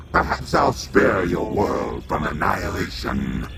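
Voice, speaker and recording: An actor playing a gloating, raging ghost villain in a video game, with theatrical threats and a gravelly voice. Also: male voice, deep voice, very noisy, exaggerated voice. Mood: menacing. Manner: theatrical, taunting, bombastic. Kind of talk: video game cutscene acting.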